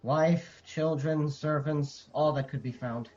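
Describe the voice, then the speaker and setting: A man playing a sorrowful noble messenger in a Shakespearean radio drama, delivering solemn, measured verse. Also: fairly high pitch, fairly even intonation, a little mellow, faint music behind. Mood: heavy, reluctant. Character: Ross, sorrowful noble messenger bearing dreadful news. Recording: slightly dull, muffled audio.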